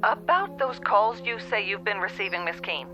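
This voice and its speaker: An actress playing a polite, efficient, patronising telephone operator in a radio drama, measured and placating. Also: female voice, medium pitch, animated, bright, forceful tone, faint music behind. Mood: matter-of-fact.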